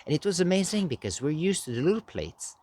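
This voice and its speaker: A man giving a storytelling speech as an amateur speaker, halting, with dramatic pauses and emphasis. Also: a little high, sing-song, warm tone. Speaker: amateur public speaker practicing a contest speech. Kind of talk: animated storytelling speech, tall tale.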